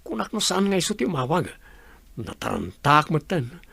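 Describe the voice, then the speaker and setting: A nervous, sneaky young manservant in radio drama. His voice is high with gravelly creak and jumpy intonation. He hesitates and sounds jittery. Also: big pitch swings, moderate pace, sharp tone, no room sound, exaggerated voice. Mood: flustered.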